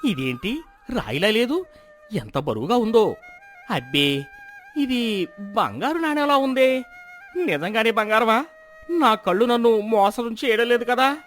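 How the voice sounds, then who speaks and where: A man playing an excitable, proud village farmer in expressive moral-story dialogue. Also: sits high, unhurried, long pauses, faint music behind, exaggerated voice. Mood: amazed, excited. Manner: expressive, exclaiming.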